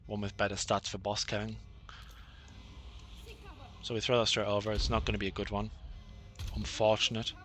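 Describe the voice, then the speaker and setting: A man giving rambling, self-deprecating commentary over his own gameplay, with many fillers and a flat, monotonous delivery. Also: fairly low pitch. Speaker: gaming channel host.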